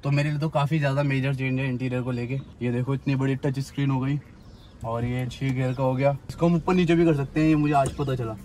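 A man's voice: mid-range voice, little pitch movement, fast, darkish tone. A man in his twenties from India chatting casually as a car vlogger, recorded around a car on the road. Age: 20s. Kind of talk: casual vlog chat. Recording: clearly noisy car and roadside sound. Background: car and road noise.

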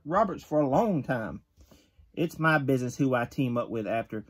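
A man in his thirties reading a wrestling magazine interview aloud at home, gruff and tough-talking, with the odd stumble, recorded on a phone camera at close range. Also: mid-range voice. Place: bedroom with shelves of collectibles.

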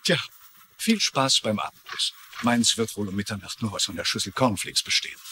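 An actor playing a smooth, courteous office boss, speaking formally in a dubbed TV drama. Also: male voice, lowish voice, animated, fairly quick, bright, forceful tone, a little hiss or hum. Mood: put-upon.

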